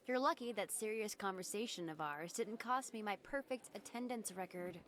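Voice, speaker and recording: A woman's voice: mid-range voice, moderate pace, fairly bright tone, a little hiss or hum, close to an everyday voice. A woman voicing a cheeky, teasing teenage schoolgirl in an amateur game fandub. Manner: playful, teasing.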